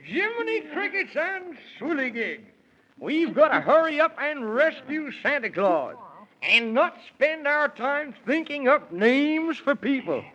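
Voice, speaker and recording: Very high, bright, excitable moon man. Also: measured pace, full character voice. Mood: impatient. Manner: exclamatory, nonsense oaths. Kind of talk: 1930s children's radio acting. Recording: muffled vintage 1930s radio recording.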